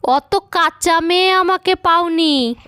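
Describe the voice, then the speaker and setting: A woman's voice: very high voice, takes it slowly, sharp tone, no room sound, exaggerated voice. A woman playing an emphatic, stubborn young wife and mother in an audio story. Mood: indignant, scornful. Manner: eager, emphatic, chiding.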